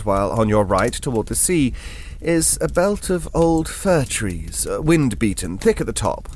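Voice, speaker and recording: A man's voice: mid-range voice, slight background noise, lightly acted. An actor playing a genteel older Englishman, telling a ghost story in an audio drama in a hesitant, digressive, politely formal and wistful way.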